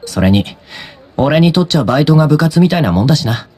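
A man voicing a cool, offhand high school boy in an anime-style game, casual and blunt. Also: animated, quick pace, darkish tone. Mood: nonchalant.

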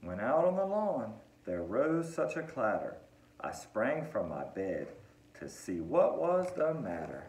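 A man reads a verse storybook aloud for children. His pitch moves in lively swings, with sing-song phrasing and dramatic pauses. It is a home recording in a roomy, echoing living room, picked up from a few feet away. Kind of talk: expressive read-aloud of a Christmas verse storybook.

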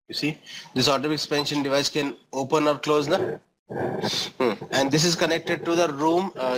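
A man's voice: mid-range voice, a bit bright, a little hiss or hum, rough recording. A middle-aged man with an Indian accent giving an explanatory lecture as an engineering instructor, often checking understanding with short questions and tags.

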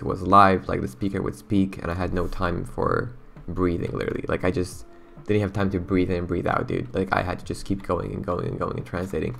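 A man's voice: deep voice, gravelly, a little hiss or hum. A young Romanian man, a native Romanian speaker, chatting casually in English while playing a game, rambling and saying "bro" and "like" a lot. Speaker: gaming channel host. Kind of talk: casual gameplay commentary and chat.

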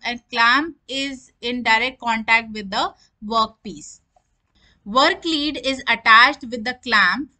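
A woman's voice: fairly high pitch, sharp tone. A woman giving a technical lecture over slides, explaining each term and then restating it in simpler words.

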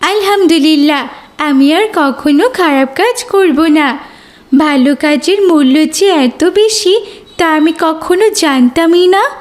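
Woman as a very high-voiced little cartoon girl. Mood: grateful. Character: naughty but remorseful little girl. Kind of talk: children's cartoon voice acting.

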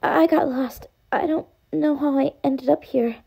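A woman voicing a lonely, lovestruck young bug girl from a video game, shy, halting and breathy. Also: high-pitched, fairly even intonation, mellow. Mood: shy, flustered. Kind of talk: fan dub of video game dialogue.

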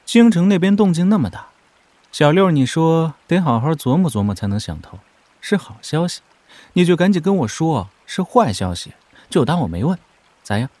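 An actor playing an informal, expressive young prince who leans on his adviser, talking in a familiar, colloquial way in a wuxia audio drama. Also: on the high side, normal speed, no room sound. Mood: impatient, curious.

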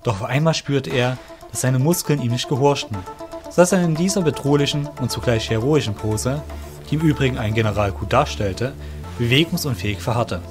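A man narrates a fantasy audio drama from a script. His voice sits at a medium pitch. Music is clearly heard behind him as he tells the story. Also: brisk.

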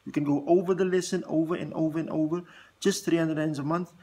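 A man's voice: a little high, fairly even intonation, quick pace, warm tone, breathy edge. A South African man in his forties, whose first language is Afrikaans, talking straight to the camera in an earnest, rambling way with lots of fillers and restarts, filmed up close indoors. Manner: earnest, thinks aloud with many fillers and restarts. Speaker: vlog host. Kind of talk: casual direct-to-camera talk.

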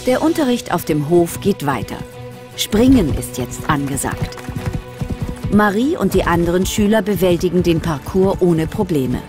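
A woman narrating a children's audio story from a script. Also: on the low side. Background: music.

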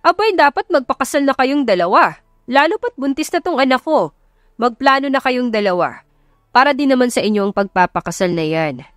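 Woman acting insistent middle-aged dad, urging, practical, Filipino accent, audiobook drama, raised pitch. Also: big pitch swings, a little fast, fairly bright tone, no echo, clean studio sound, soft background music.

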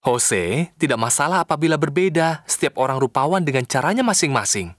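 Man voicing a lively, reassuring cartoon helper. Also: fairly high pitch, fairly quick, lightly acted. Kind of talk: children's cartoon dialogue.

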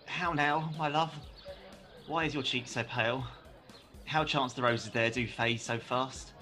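A man's voice: medium pitch, fairly even intonation, measured pace, bright, forceful tone, soft background music. An actor playing an ardent young male lover in a Shakespearean radio play, speaking verse with theatrical passion. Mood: tender, lamenting. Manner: impassioned, theatrical verse delivery.

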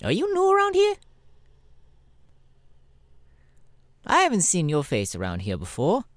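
A man's voice: high voice, huge pitch swings, fast, no echo. A man voicing a jaded, sassy, foul-mouthed male spider demon with a sardonic, weary drawl in an ASMR audio roleplay. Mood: groggy, wary. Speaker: voice actor, ASMR roleplay artist.

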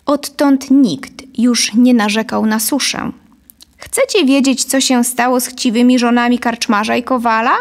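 A woman in her thirties narrating a scripted story deliberately and expressively into a close studio microphone. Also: on the high side, steady tone. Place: dim studio set, indoors. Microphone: studio condenser on a boom, close.

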